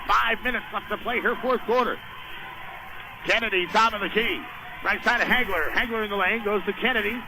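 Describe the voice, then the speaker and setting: An American man doing live radio play-by-play of a basketball game in clipped, running phrases. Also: high-pitched. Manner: clipped, running description of the action. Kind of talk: live radio sports commentary. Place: indoor basketball gym during a game. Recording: noisy live radio gym broadcast.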